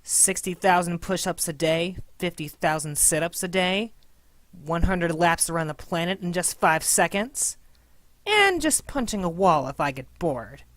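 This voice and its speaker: A woman voicing a stoic, boastful anime fighter woman in a fan parody, bragging in deadpan style. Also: fairly low pitch, unhurried, dry recording, voice pushed down.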